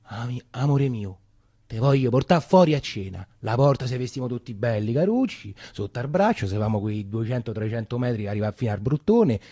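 An actor playing a weary, chatty Roman coffee-shop keeper, rambling and repeating himself in a colloquial theatrical monologue with a Roman accent. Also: male voice, medium pitch, animated, brisk, warm tone, husky, no room sound, clean studio sound. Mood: wistful, storytelling. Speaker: stage actor.

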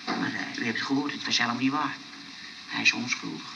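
An actor playing an excitable, earnest young man in a Dutch radio comedy. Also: medium pitch, quick pace, vocal fry, lightly acted. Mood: sheepish, earnest. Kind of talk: Dutch radio play acting. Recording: noisy old radio play recording.

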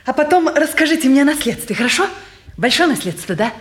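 Бойкой девушки с высоким голосом. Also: female voice, sits high, big pitch swings, fast talker, reverberant. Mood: coaxing. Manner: coaxing, teasing. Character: playful, bold, eager young woman. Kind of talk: 1950s Russian stage acting. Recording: slightly noisy old stage recording.

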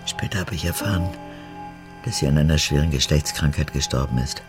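An actress in a radio drama playing a cold, proud old mother and unrepentant former prison guard, haughty and emphatic, with halting phrases. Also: extremely low pitch, over music. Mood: cold, reminiscing. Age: older. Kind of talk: German radio drama acting.

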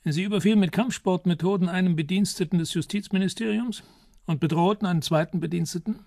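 A man's voice: sits high, quick pace, vocal fry, darkish tone, no room sound, clean studio sound. An actor playing a stern middle-aged investigating judge in a radio crime drama, curt and authoritative as he questions. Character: stern, curt middle-aged Spanish examining magistrate, powerfully built.

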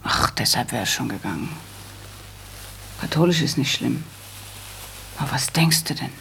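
An actress playing a proud, sensible young widowed daughter who speaks in a dry, reproving way in a German radio play. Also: female voice, very deep voice, lively intonation, moderate pace, fairly bright tone, whispered, full character voice. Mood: weary, dry. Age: young adult. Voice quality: husky, creaky.

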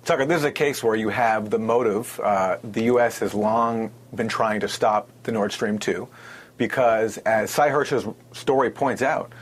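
A man giving spoken commentary as an interview guest, with a husky voice. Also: fairly low pitch, a little slow.

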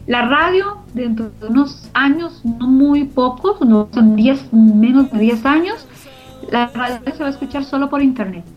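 A woman's voice: high voice, measured pace. A middle-aged woman with a Costa Rican Spanish accent, answering interview questions in an earnest, emphatic way and repeating phrases for emphasis. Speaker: interviewee, co-founder of a community radio organization. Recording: muffled lo-fi audio with background music.

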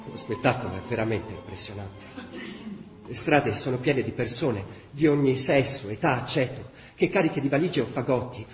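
Actor as troubled wartime diarist, echoing room. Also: male voice, medium pitch, close to an everyday voice. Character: troubled male diarist witnessing his occupied city. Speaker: diary reader in a radio drama. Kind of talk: dramatic reading of a wartime diary. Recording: roomy, echoing radio theatre sound.

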